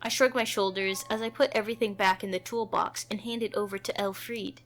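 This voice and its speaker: A woman's voice: mid-range voice, steady tone, fairly quick, fairly bright tone. A woman reading a visual novel aloud, moving between narration and character dialogue, with music playing behind her. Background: background music.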